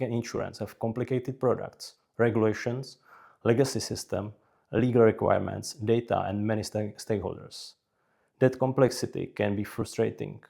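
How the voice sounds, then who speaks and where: A man from the Czech Republic with a Czech accent, narrating scripted career advice in measured, deliberate short phrases. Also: on the low side, creaky, warm tone. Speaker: career advice video creator.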